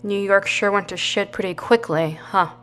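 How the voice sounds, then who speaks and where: An actress playing a tough, sardonic, war-hardened survivor in an audio drama, with a blunt, dry, sarcastic delivery. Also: female voice, mid-range voice, normal speed, fairly bright tone, clear, resonant tone, lightly acted. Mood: wry, hardened.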